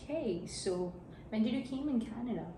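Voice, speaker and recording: A woman's voice: a little high, lively intonation, normal speed. A woman interviewing a friend for a class assignment, chatty and using frequent fillers. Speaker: student interviewer. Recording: echoey room, from a distance, noisy.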